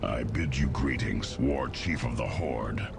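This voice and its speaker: A man playing a stoic, weary half-orc wanderer in a fantasy game cutscene, solemn and weighty in delivery, with a gravelly voice. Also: rumbling low, unhurried, over music, heavily acted.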